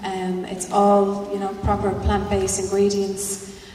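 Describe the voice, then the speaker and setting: A woman's voice: mid-range voice, completely flat delivery, slow pace, dark tone. A woman answering at a panel discussion, rambling and full of "you know" asides. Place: large hall at a festival panel. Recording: roomy hall, distant mic, faint noise.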